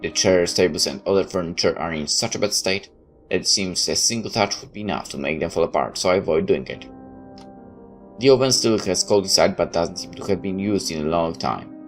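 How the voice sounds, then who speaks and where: A man with a low voice reads a first-person story aloud. His delivery is dramatic and expressive, a little halting, with music playing behind him. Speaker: video game player reading a visual novel aloud.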